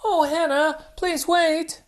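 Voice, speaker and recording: A woman voicing a cheerful little girl pig who pleads and apologizes in a high, breathless voice. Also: fairly even intonation, takes it slowly. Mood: pleading.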